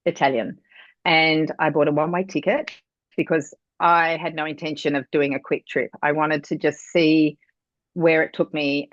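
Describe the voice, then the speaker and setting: Australian woman in her fifties, low voice. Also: dry recording. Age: 50s. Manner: relaxed, chatty storytelling. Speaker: guest, watercolor artist. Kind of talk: casual interview storytelling. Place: her art studio in the heat. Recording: dry, dull lo-fi sound.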